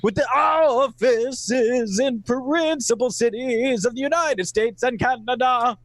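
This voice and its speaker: A man acting a formal, booming announcer from a 1940s radio network. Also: takes it slowly, no room sound, heavily acted.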